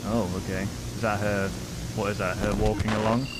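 A man's voice: on the low side, level tone, normal speed, heavy background noise. A man in his twenties hosting a reaction video, chatting casually and rambling in a conversational way, close on a desk microphone. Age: 20s. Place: small home room, indoors. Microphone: close desk microphone with headphones.

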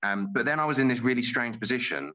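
A man in his forties talking casually as an interview guest, halting and often interrupting himself. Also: medium pitch, expressive, pushed and bright, poor audio. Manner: halting, self-interrupting, informal. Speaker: guest, playwright.

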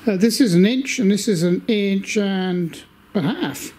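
An older man giving a casual update from his home workshop, talking slowly with lots of ums and restarts while holding the camera. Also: high-pitched, a little mellow. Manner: slow, rambling, many fillers. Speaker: workshop video maker.

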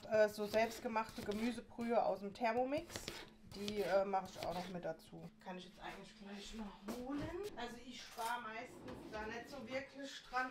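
A woman in her thirties chatting informally and explaining things in a casual home vlog. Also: medium pitch. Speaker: vlogger. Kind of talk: casual vlog chat while cooking and unboxing. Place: indoors at home, kitchen and living room. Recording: clear background hiss and kitchen noise.

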